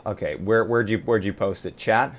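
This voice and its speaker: A man's voice: lowish voice, a little slow, slight background noise. A man chatting casually with stream viewers and a co-host in an easygoing, informal, joking way. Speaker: live-stream roleplay player.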